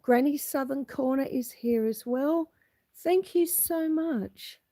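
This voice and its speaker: A middle-aged Australian woman with a husky, hoarse voice from a cold, chatting casually as she greets viewers on a live stream from her homestead, filming on her phone outdoors. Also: sits high, slow pace, warm tone. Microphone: phone camera.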